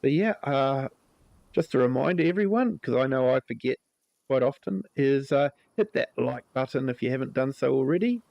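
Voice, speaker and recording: A man with a New Zealand accent hosting a live gameplay stream, chatting with viewers in a relaxed way, his voice a little croaky from a cold. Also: medium pitch, moderate pace, no echo, highs cut off. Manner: relaxed, chatty, greets viewers by name, many ums. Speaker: livestream host.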